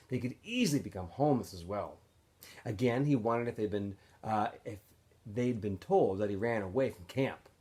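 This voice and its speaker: A man reading a novel aloud as a teacher would for students, in an expressive, animated storytelling way with phrases that often trail off softer. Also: lowish voice. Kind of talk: expressive audiobook-style read-aloud narration.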